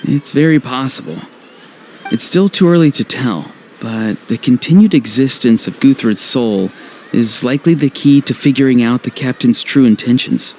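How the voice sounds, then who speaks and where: A man playing a serious, pensive young warrior in a video game, talking in a reserved, deliberate way. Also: medium pitch, darkish tone, a little hiss or hum, natural voice. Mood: serious, puzzled. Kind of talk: video game cutscene acting.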